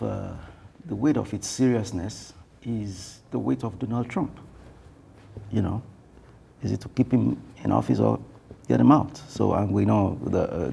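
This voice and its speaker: A man speaking on a television panel, thoughtful and halting, with many fillers and restarts. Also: lowish voice, very animated, creaky, dark tone, a little hiss or hum. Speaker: panel guest. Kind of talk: panel discussion.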